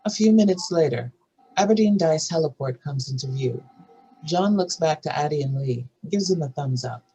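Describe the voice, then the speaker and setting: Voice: mid-range voice, animated, normal speed, warm tone, muffled recording, slight background noise. A narrator reading out stage directions and scene headings in a scripted table read.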